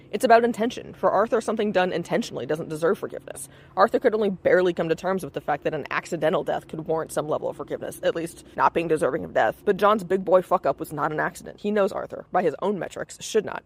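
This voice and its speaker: A man's voice: sits high, fast, few pauses, breathy edge. A man giving prepared podcast commentary in an assertive, articulate and fluent way.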